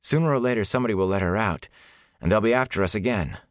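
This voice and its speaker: A man's voice: fairly low pitch, fast talker, no echo, studio quality, voice pushed up, lightly acted. A man voicing a wry, suspicious, impatient young farm lad in an audiobook, brusque and tense. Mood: tense, worried. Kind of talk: audiobook character acting.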